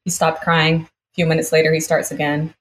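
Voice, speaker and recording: A woman's voice: deep voice, monotone, brisk, slight background noise. A woman from the United States, born in Brazil, telling a personal story conversationally as an interview guest, quoting people as she goes.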